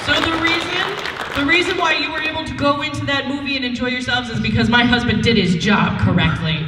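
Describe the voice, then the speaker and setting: A woman's voice: high-pitched, fairly quick, pushed and bright, faint music behind, some chatter behind. A woman co-hosting a convention panel with casual banter. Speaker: panel co-host. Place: large convention panel room. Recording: echoey hall, distant microphone.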